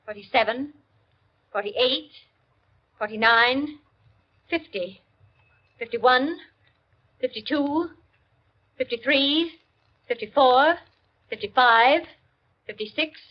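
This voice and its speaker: High-voiced society hostess, old radio. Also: female voice, slow pace, long pauses. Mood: measured, tense. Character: poised society hostess, counting slowly aloud. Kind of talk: 1940s radio acting. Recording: dull, noisy vintage radio recording.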